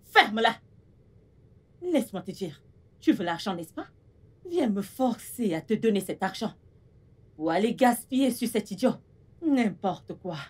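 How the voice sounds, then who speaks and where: An actress playing a stern, domineering middle-aged mother in a French drama, insistent and husky-voiced. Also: medium pitch, lively intonation, measured pace, long pauses, a little mellow. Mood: scolding. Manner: scolding, insistent, repeats demands. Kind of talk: dramatic film dialogue in French.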